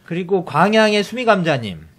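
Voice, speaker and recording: A middle-aged man hosting a casual podcast. He talks in a halting way with many fillers and restarts, reading out listener comments and news. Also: high-pitched, very animated, a bit bright. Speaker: podcast host.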